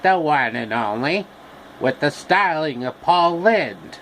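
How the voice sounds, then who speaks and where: A man voicing a campy, fussy talking scarecrow with a droll, drawn-out delivery. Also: fairly high pitch, lively intonation, a bit bright, slight background noise. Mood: wry, fussy.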